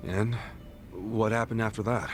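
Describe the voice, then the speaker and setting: A man's voice: fairly low pitch, fairly even intonation, a little slow, close to an everyday voice. An actor playing an earnest, plain-spoken male friend in a video game, talking colloquially and sympathetically with an American accent. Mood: concerned. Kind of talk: video game dub, conversational. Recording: music behind the voice.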